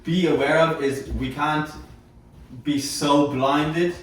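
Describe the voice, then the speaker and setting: A man's voice: fairly high pitch, fairly even intonation, slow. A man talking casually as a panellist in a sports discussion. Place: echoey indoor room. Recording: very echoey room, distant mic, faint noise.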